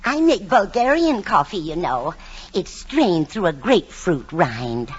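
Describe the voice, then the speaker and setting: Dotty sweet older lady, fussing, very lively intonation, noisy old radio comedy. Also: fairly low pitch, a little slow. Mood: sweet, chatty. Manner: scatterbrained, genteel, fussing. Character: dotty, kindly, hospitable older landlady. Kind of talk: 1940s radio comedy acting. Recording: noisy dull 1940s radio recording.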